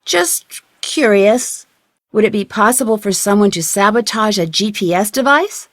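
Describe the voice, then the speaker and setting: A woman's voice: medium pitch, lively intonation, moderate pace, no echo, studio quality, natural voice. A woman playing an inquisitive, polite young female amateur detective in a video game, asking questions in a curious way. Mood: suspicious, earnest. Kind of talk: video game dialogue acting.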